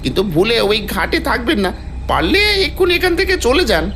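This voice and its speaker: Very high-pitched rustic local man. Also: huge pitch swings, normal speed, a bit bright, slight background noise, exaggerated voice. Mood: urgent warning. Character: jittery rustic local man, warning a stranger.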